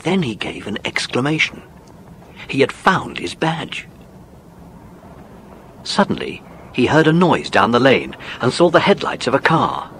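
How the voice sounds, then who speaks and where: A man's voice: medium pitch, huge pitch swings, unhurried, long pauses, fairly bright tone, a little hiss or hum. A man narrating a children's story from a script, as in an audiobook drama.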